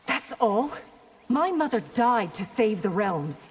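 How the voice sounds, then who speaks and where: An actress playing a proud, questioning young warrior princess in a video game, formal and pointed. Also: female voice, a little high, big pitch swings, slow. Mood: aggrieved. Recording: long hall reverb, faint noise.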